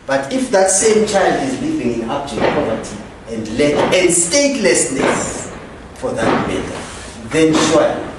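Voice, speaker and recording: A man with a Zimbabwean accent giving a public lecture, posing rhetorical questions and questioning his audience. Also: on the high side. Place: lecture hall. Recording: echoey hall, from far off, faint noise.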